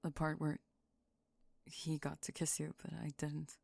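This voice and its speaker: A woman voicing a guarded, dry-witted goth high school girl in an ASMR roleplay, terse, reluctant and deadpan. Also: measured pace, vocal fry, no echo, heavily acted. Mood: reluctant, vulnerable. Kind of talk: ASMR roleplay audio drama.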